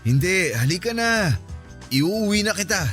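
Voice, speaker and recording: A man's voice: high-pitched, sing-song, a little slow, fairly bright tone, soft background music, exaggerated voice. An actor in a Filipino radio drama playing an insistent, lovesick man who coaxes and pleads with exasperation. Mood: coaxing, insistent. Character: insistent, lovesick male friend pursuing married woman.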